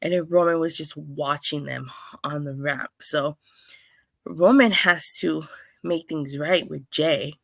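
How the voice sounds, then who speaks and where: A woman with a hoarse, clogged voice from being under the weather, hosting a chatty solo review with lots of restarts. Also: deep voice, slow, no echo. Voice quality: clogged, stuffy voice. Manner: chatty, rambling, with many restarts and fillers. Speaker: wrestling show reviewer, host. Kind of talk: casual solo review talk.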